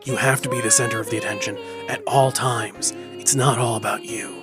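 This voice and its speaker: A woman's voice: very deep voice, steady tone, fairly quick, over loud music. A woman playing an exasperated superhero who runs a show in an audio drama, scolding and dismissive.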